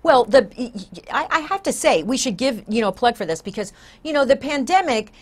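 A woman's voice: a little high, animated, brisk. A woman in her sixties talking conversationally as the host of a casual interview show. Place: small TV studio, indoors.

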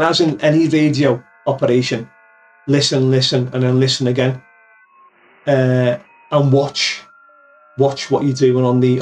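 A man's voice: mid-range voice, a little mellow, recorded from a distance. A man explaining things step by step in a casual screen tutorial, informally repeating points for emphasis. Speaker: amateur radio operator giving tips. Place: indoors, voice-over of screen recording.

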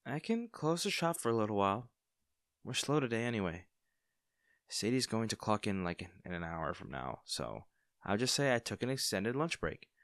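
A man's voice: lowish voice, sing-song, normal speed, no echo, studio quality. A man playing a kind young barista with a shy crush, speaking reassuringly and a little hesitantly in an intimate audio roleplay. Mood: caring, reassuring. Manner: soft-hearted, reassuring, hesitant. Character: kind young male barista, caring, shyly smitten. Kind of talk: intimate comfort audio roleplay, natural conversational acting.

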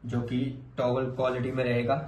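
A man's voice: medium pitch, completely flat delivery, moderate pace, darkish tone. A man presenting garments plainly, in short phrases mixed with English trade terms. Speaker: garment manufacturer presenting products. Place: indoors in a garment factory and bare room. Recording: echoey room, faint background noise.

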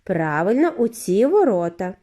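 A woman voicing a giddy, eccentric factory owner in a storybook reading, with a high, clear, flute-like voice and effusive, drawn-out greetings. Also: very animated, mellow. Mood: gushing. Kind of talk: audiobook character voice.

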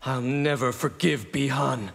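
A loyal ninja with a grudge in a fighting game. He has a mid-pitched voice and an earnest, resolute delivery. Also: unhurried, gravelly, fairly bright tone, slightly rough, full character voice. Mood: resolute, bitter. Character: loyal, embittered grey-haired ninja brother. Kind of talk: dramatic fighting game dialogue.

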